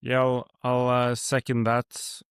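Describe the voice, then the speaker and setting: Flat-voiced Norwegian man chatting. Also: medium pitch, slow, smooth, no room sound, studio quality. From Norway. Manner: reflective, rambling, many fillers and restarts. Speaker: podcast host. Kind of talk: casual podcast conversation.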